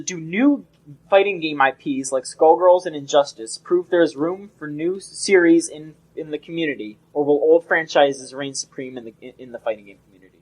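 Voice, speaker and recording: A man's voice: fairly high pitch, poor audio. A young man co-hosting a casual gaming podcast, rambling and often restarting his sentences. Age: young adult. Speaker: podcast co-host.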